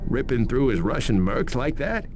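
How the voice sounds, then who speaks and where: An actor playing a needling police interrogator in a video game, sarcastic and taunting. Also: male voice, medium pitch, sing-song, normal speed, over music, close to an everyday voice. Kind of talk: scripted game acting.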